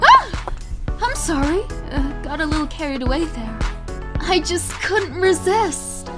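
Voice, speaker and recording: Woman acting gushing high-pitched glamorous flirt. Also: very animated. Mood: excited, affectionate. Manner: effusive, teasing, drawn-out flourishes. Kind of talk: audio drama acting. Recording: music under the voice.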